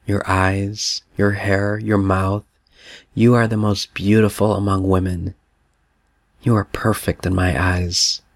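Man speaking as a loving fatherly god, low and gravelly, flat slow gentle repeats, dry close sound. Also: no room sound, heavily acted. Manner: gentle, repetitive affirmations. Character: God the Father, tender loving male spirit.

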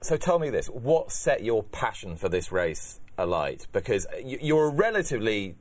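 A man hosting a racing talk show from a studio, with brisk, polished presenter delivery as he introduces guests and puts questions to them. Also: lowish voice, sing-song, dry recording. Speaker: podcast host. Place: radio studio.

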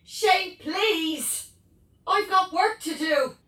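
A woman's voice: very high voice, very slow, heavily acted. An actress playing an exasperated, busy mother scolding her small son in an audio drama, heard from off in a room. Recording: roomy, distant, noisy background.

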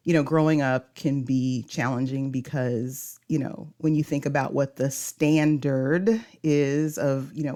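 A woman's voice: sits low, darkish tone. A woman hosting a podcast interview, who talks casually and encouragingly and laughs often. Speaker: podcast host.

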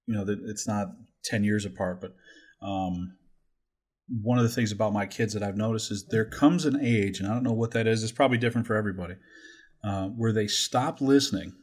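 A man in his forties with a lowish, raspy voice hosts a casual podcast. He talks in a loose, rambling way, with joking asides and blunt, crude wording. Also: fairly quick, a little mellow. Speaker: podcast host.

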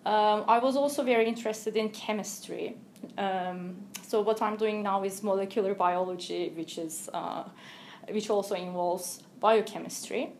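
A woman from Turkey, a researcher guest answering interview questions in a careful, explanatory way with thoughtful pauses. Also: medium pitch, fairly even intonation, a little mellow, a little hiss or hum.

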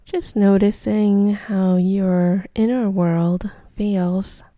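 A woman leading a guided meditation in a soft, soothing, unhurried way, her voice breathy and close to a whisper at times. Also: mid-range voice, steady tone, no echo. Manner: soft, soothing, unhurried delivery with short phrases.

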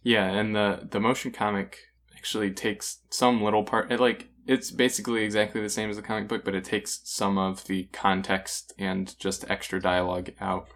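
Low, even-toned male co-host. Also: moderate pace, hardly any pauses. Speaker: podcast co-host. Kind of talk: casual comic review discussion.

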